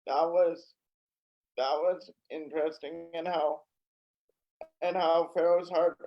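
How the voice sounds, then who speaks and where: A man on a video call giving casual answers in a halting, earnest way, repeating words as he goes. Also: fairly high pitch, flat delivery, a little hiss or hum. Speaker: online group participant. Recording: dull video-call audio.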